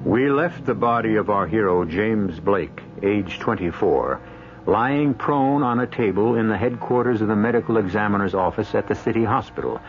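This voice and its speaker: Solemn theatrical radio narrator, low pitch, grave delivery, muffled vintage broadcast. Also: male voice, lively intonation. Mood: grave, portentous. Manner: grave, portentous, deliberate. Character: solemn, brooding male narrator of mystery tales. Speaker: host narrator. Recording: dull old radio broadcast under loud music.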